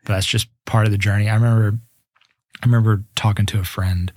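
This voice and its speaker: A young man in his twenties talks about his path as a musician in an easygoing interview. His voice sits a little low and he speaks earnestly, often circling back to restart a thought. The sound is dry, with no room around it. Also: little pitch movement, fast, a little mellow, no echo. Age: 20s. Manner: earnest, reflective, with many restarts. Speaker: guest musician. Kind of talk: casual podcast interview.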